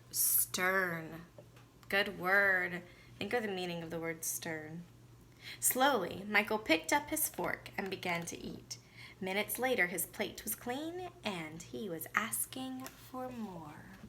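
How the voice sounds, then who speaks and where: A woman's voice: medium pitch, animated, slow pace, bright, forceful tone, a little hiss or hum. A woman teacher reading a children's storybook aloud expressively, with teaching asides and questions to the listener.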